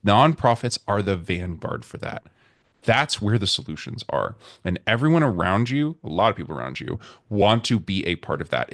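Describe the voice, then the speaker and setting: A man with a very deep voice, a podcast guest speaking earnestly and rallying listeners. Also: no echo, clean studio sound. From United States. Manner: earnest, rallying speech-like delivery. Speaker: podcast guest, nonprofit consultant. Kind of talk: conversational interview.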